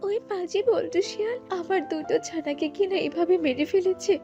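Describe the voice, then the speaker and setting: A woman's voice: very high voice, fairly quick, muffled recording. A woman voicing a grieving, vengeful mother snake in a cartoon, lamenting dramatically. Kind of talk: cartoon dub acting. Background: music.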